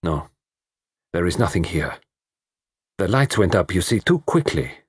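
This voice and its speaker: A man voicing a fussy, commanding detective, pompous and precise, in a slightly husky voice. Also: deep voice, sing-song, a little slow, dry recording, clean studio sound, exaggerated voice. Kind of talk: audiobook reading.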